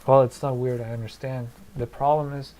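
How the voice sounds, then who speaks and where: A man's voice: medium pitch, little pitch movement, a little fast, a little mellow. A man in his thirties from Germany with a German and Polish accent, chatting casually on a live stream from his desk and thinking aloud with many fillers. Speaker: streamer answering chat. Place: small home studio at a desk.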